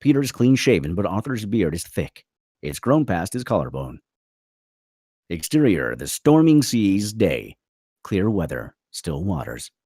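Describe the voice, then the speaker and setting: A man's voice: low voice, very animated, a little slow, warm tone, slightly rough, no echo, studio quality. A man reading screenplay stage directions as the narrator of a table read.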